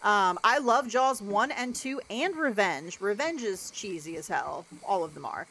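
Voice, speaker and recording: A young adult woman with a deeper voice, livestreaming a game and chatting casually with lots of "like" and "um". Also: expressive, measured pace, bright, forceful tone, poor audio. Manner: rambling, chatty, many "like" and "um" fillers. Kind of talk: casual chat while gaming.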